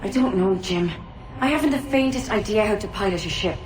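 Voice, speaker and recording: A woman's voice: medium pitch, animated, brisk, close to an everyday voice. An actress playing an eager, inexperienced young woman in a video game cutscene, tentative and curious. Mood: doubtful. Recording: roomy, distant, clearly noisy ambience.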